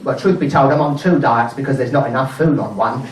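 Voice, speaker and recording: A man's voice: medium pitch, a crowd in the background, natural voice. A man playing a cheeky middle-aged widowed nurse as a pantomime dame, doing stand-up comedy in a mock-mournful, saucy manner. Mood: saucy confiding. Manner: mock-mournful, saucy, delivering gags with deliberate pauses. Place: indoor charity show before a live audience. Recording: roomy live venue, distant microphone.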